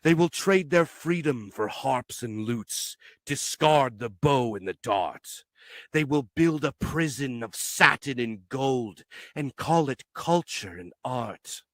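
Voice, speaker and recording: Man, forceful bright tone, solemn declamatory poetry recitation, slow delivery, dry close sound. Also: medium pitch, no room sound. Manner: declamatory, solemn, measured phrasing. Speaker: poetry reader.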